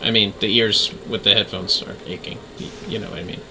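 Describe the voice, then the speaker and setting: A man's voice: lowish voice, steady tone, a little fast, background noise. A man livestreaming a game with casual, off-the-cuff chat.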